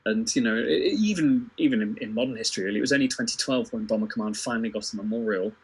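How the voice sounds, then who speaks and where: Very raspy, halting man. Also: medium pitch. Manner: halting, thinking aloud, repeats words. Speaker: podcast panellist. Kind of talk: informal history discussion.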